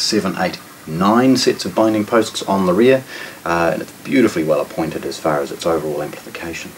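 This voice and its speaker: A man in his forties with a New Zealand accent presents a product walkthrough in an unscripted, matter-of-fact way. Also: low-pitched. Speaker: shop presenter. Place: indoor audio showroom. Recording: distant mic with background noise.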